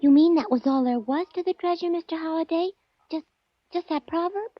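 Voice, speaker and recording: High, girlish, naive secretary. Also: fairly quick, dry recording, faint music behind. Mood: wistful. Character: naive, scatterbrained, sweet young female secretary. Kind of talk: 1940s radio comedy-drama acting. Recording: muffled old radio recording.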